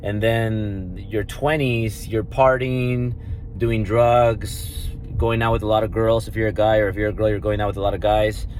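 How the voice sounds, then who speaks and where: A man's voice: on the low side, little pitch movement, normal speed, darkish tone, a little hiss or hum. A man in his thirties with a Mexican Spanish accent, a native Spanish speaker, talking in a brash, swaggering vlog, swearing and repeating himself. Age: 30s. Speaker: vlogger and entrepreneur. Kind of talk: casual boastful vlog.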